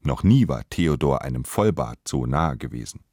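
A man's voice: extremely low pitch, expressive, normal speed, background noise. A man, narrating literary prose for a radio play.

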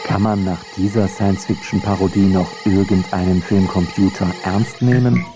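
A low-pitched male narrator reads a scripted feature essay. His delivery is wry and dryly ironic, with music audible behind him. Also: fairly even intonation, moderate pace.

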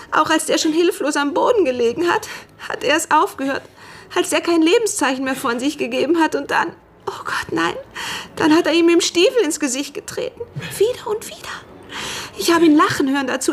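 An actress playing a frightened young housemaid in a radio drama, giving breathless, halting eyewitness testimony in colloquial speech. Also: female voice, high-pitched, fairly bright tone, slight background noise. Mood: horrified, agitated. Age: young adult.

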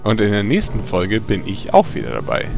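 A man's voice: on the low side, huge pitch swings, brisk, creaky, soft background music, full character voice. A man playing an eager, chummy returning villain in parody audio drama banter.

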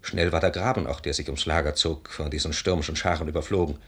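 An actor playing a grave, courteous army officer in a 1950s German radio drama, measured and solemn. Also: male voice, rumbling low, steady tone, a bit bright, breathy edge, exaggerated voice. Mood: solemn, dramatic.

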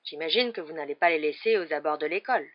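Woman reading as calm kindly old wizard headmaster, measured n amused, audiobook, slightly dull sound. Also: deep voice. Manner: serene, measured. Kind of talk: acted audiobook dialogue.

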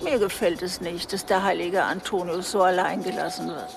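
A woman's voice: lowish voice, fairly even intonation, moderate pace, gravelly, noisy background. Blunt, plucky old village woman. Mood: chatty. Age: older. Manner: blunt, folksy, self-assured. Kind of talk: radio drama acting.

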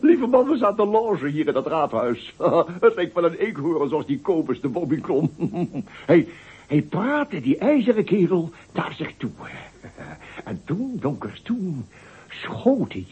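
An actor playing a pompous, blustering mayor who chuckles through a husky voice in a radio play. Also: male voice, on the high side, sing-song, a little slow, heavily acted. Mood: gloating. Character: pompous middle-aged male mayor, blustering. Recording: dull lo-fi radio recording.

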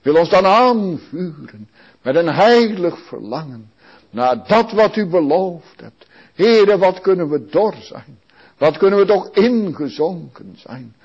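A man leading a long public prayer in a slow, solemn and pleading way. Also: high-pitched, expressive, husky, lo-fi sound. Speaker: praying minister.

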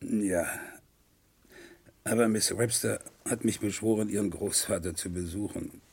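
An actor playing a distraught, haunted murder suspect in a German radio crime play, speaking in a halting, repetitive, anguished way. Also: male voice, lowish voice, steady tone, creaky, darkish tone, breathy edge, exaggerated voice. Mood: defensive.

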